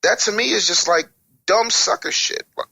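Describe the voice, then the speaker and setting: A man's voice: high voice, normal speed, vocal fry, bright, forceful tone, dry recording. An American man speaking as an author on a podcast, emphatic and blunt, with slangy phrasing and rhetorical questions. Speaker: author and guest, former radio producer. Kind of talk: opinionated podcast interview talk.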